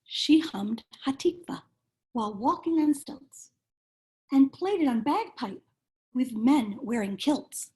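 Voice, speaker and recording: A woman reading a children's storybook aloud in a measured, expressive storytelling manner. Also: sits high. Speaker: cantor reading a bedtime story.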